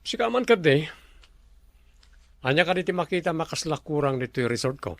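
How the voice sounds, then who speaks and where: Self-assured resort boss, fairly high male voice, decisive, radio drama. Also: sing-song, brisk, vocal fry, no room sound. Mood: businesslike. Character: confident male resort owner, boss giving instructions. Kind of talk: Ilocano radio drama acting.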